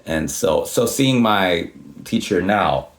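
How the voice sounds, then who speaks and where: Very deep-voiced man rambling. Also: lively intonation, slow pace. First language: Swedish. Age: 30s. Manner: rambling, many restarts and swearing. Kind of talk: casual storytelling.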